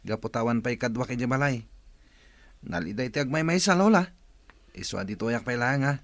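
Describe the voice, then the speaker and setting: A man's voice: medium pitch, expressive, fairly quick, no echo, natural voice. A man playing a dutiful, deferential young grandson in a radio drama, with an Ilocano accent. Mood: coaxing.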